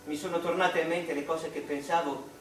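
An actor playing an anguished, reflective English mathematician in a theatrical radio monologue, slow and dramatic, with pauses between short phrases. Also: male voice, on the high side, fairly even intonation, lightly acted. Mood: pensive. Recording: roomy, distant stage-like sound, music behind.

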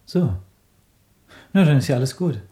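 A man playing an affable, breezy gentleman in a radio play, brisk and polite in manner. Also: medium pitch, animated, close to an everyday voice.

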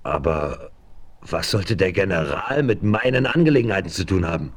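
A man's voice: sits low, animated, moderate pace, breathy edge, exaggerated voice. An actor playing an earnest, thoughtful frontiersman searching for his family in an old radio play. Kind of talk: 1970s radio play acting.

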